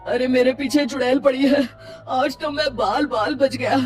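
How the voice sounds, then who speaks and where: A woman's voice: high-pitched, steady tone, normal speed, far from the mic, faint music behind, lightly acted. A woman voicing a panicky timid farmer. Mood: panicked. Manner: panicky, fearful exclamations. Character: timid frightened male village farmer. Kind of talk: cartoon voice acting.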